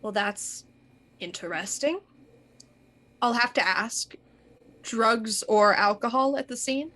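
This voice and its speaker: Woman acting a female inspector, highish bright voice, shrewd, composed, measured, radio mystery. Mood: musing. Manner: deliberate, probing, measured.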